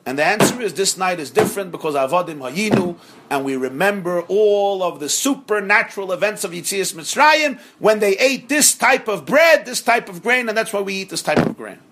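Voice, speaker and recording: A rabbi teaching a class on a religious text, earnest and emphatic, who repeats phrases for stress and sprinkles in Hebrew and Yiddish terms. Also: male voice, high-pitched, animated, normal speed, fairly bright tone, a little hiss or hum. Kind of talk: explaining a religious text to students.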